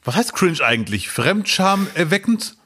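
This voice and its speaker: A man in his thirties co-hosting a casual podcast, telling stories in a lively, jokey way. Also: high voice, moderate pace, slightly rough. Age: 30s. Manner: animated storyteller, lively and jokey. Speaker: podcast co-host.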